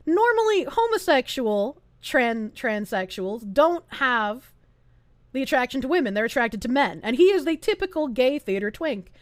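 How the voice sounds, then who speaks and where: A high-voiced woman streamer whose pitch leaps around as she talks. Her commentary is casual and sarcastic. The recording is dry and close, with no room sound. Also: normal speed, no room sound. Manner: sarcastic and animated.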